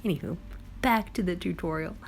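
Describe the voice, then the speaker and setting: Young woman, hoarse and raspy, low voice, chatty craft voice-over with lots of likes, faint hiss behind. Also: fairly quick. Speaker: crafting vlogger. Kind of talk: casual voice-over narration of a craft video.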